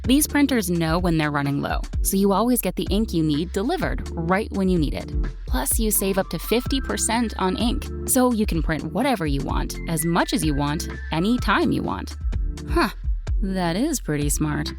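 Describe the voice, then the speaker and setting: Animated woman reading an ad over music. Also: lowish voice, normal speed. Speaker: advertisement voice-over. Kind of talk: scripted commercial narration. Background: music bed.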